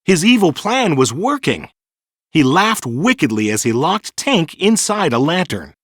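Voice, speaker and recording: A man reading a children's storybook in an animated, dramatic storytelling manner. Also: a little high, unhurried, no echo, studio quality. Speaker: storybook narrator. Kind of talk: scripted children's storybook narration.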